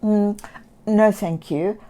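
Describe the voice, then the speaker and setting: A woman voicing a small, lost, homesick male cat in a storybook, speaking softly and almost in a whisper. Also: mid-range voice, fairly even intonation, takes it slowly, lightly acted. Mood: sad. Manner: soft, quiet, near whispering.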